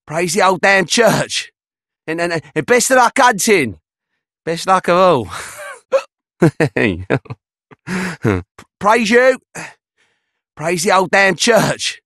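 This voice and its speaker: An actor playing a bitter, half-mad townsman in a video game, raspy and creaky, theatrical, swinging into manic highs. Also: sing-song, very slow, long pauses, fairly bright tone, dry recording, clean studio sound, full character voice. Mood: manic, mocking. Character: bitter, half-mad male townsman shut indoors, sneering and giggling. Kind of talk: video game character acting.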